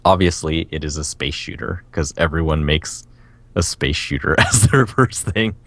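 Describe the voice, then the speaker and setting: A man's voice: a bass voice, animated, normal speed, fairly bright tone, dry recording. A man co-hosting a casual video game podcast, rambling along enthusiastically and often breaking into laughter as he talks. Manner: laughs often while talking. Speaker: podcast co-host.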